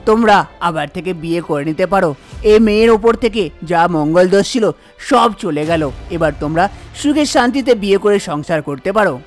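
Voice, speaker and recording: A man's voice: high-pitched, expressive, a little fast, faint music behind. A man voicing a fussy priest and astrologer in a cartoon story, making grave pronouncements and explaining things with repeated exclamations. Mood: pleased, officiating. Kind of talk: Bengali cartoon story dialogue.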